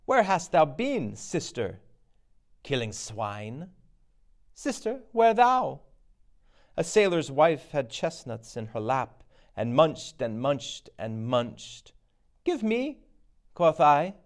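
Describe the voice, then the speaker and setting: Man playing a sinister witch, chanting slowly. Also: on the high side, big pitch swings, voice pushed up, heavily acted. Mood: sly, spiteful. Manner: slow, incantatory.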